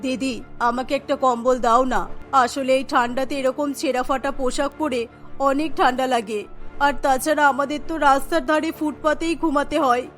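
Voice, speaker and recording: A woman's voice: high-pitched, fairly even intonation, normal speed, a bit bright, faint music behind. A woman voicing an earnest, pleading woman of a poor family in a cartoon story.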